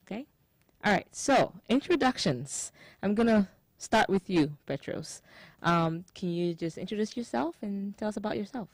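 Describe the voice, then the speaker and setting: A woman's voice: mid-range voice, animated, moderate pace, no echo. A woman hosting a casual community interview, upbeat and chatty, laughing easily with her guests. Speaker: host.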